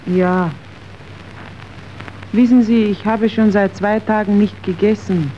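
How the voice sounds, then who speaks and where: Actress as slow, hungry, destitute wife. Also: female voice, medium pitch, steady tone, dark tone, natural voice. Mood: desperate, resigned. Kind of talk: radio drama dialogue. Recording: dull, noisy old radio recording.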